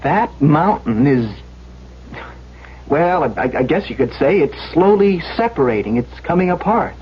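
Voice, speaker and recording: An actor playing an earnest, troubled safety inspector in a radio drama, speaking in a hesitant, halting but insistent way. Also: male voice, a little high, very animated, a little hiss or hum. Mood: uneasy, hesitant. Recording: dull old radio broadcast recording.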